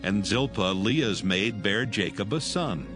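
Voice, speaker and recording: A man's voice: fairly low pitch, lively intonation, a little slow, music in the background. A man narrating scripture from a script in a solemn style.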